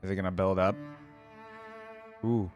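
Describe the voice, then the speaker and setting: A man giving casual reaction commentary as a video host, thinking out loud in an informal way. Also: sits low, level tone, unhurried, lo-fi sound, over music.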